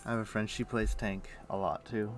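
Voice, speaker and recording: A man's voice: lowish voice, little pitch movement, a little fast, soft background music. A man streaming a game, chatting casually and thinking aloud in an easygoing, musing way. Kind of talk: casual chat while playing an online game.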